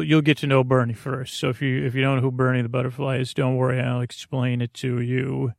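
Creaky-voiced American man, rambling softly. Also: mid-range voice, dry recording, clean studio sound. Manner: meandering, halting, easily sidetracked. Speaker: host of a bedtime podcast. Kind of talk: rambling, soothing sleep-podcast monologue.

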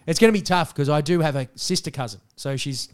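A young Australian man with a raspy voice left over from being sick, co-hosting a podcast in sweary, laughing banter. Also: fairly high pitch, fast. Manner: sweary, laughing, tells stories with acted quotes. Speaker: podcast co-host. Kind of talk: casual banter.

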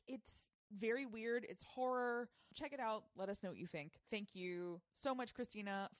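A woman's voice: mid-range voice, no echo. A woman hosting a podcast, giving casual, chatty commentary. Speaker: podcast host.

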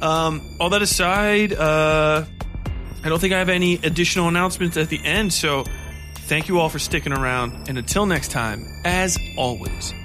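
A man's voice: fairly high pitch, normal speed, over music. A man hosting a solo podcast, talking casually in a profane, slangy, rambling way about films. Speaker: podcast host. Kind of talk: casual solo film review and rant.

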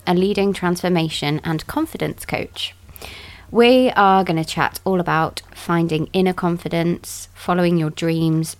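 A woman's voice: lowish voice, creaky. A young woman hosting a wellness podcast, giving a bubbly intro and chatting easily with her guest. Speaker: podcast host. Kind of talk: podcast intro and casual interview.

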